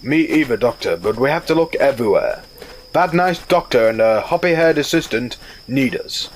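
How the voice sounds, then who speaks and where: An actor playing a calm, commanding rabbit starship captain in an audio drama, giving orders in a formal, steady way. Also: male voice, mid-range voice, moderate pace, slight background noise, lightly acted, poor audio.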